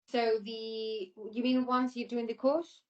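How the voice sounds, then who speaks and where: A woman's voice: a little high, flat delivery, slow pace, a loud crowd. A woman giving informal, explanatory interview answers about her work, often repeating herself, over an online call. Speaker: air traffic controller being interviewed. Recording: dull lo-fi online call audio.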